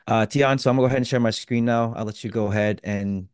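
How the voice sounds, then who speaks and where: A man's voice: medium pitch, fairly even intonation, fast talker, smooth, no room sound, studio quality. A man co-presenting a webinar, introducing the guest in a casual, friendly way with fillers. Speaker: co-presenter, product marketing manager.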